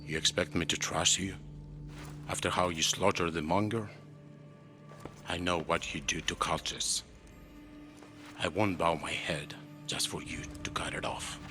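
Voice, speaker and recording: A man voicing a proud, embittered Spartan politician in a video game, speaking in a grave, measured way. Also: low voice, steady tone. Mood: bitter, proud. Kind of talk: dramatic video game dialogue. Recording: game soundtrack music behind the voice. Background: music.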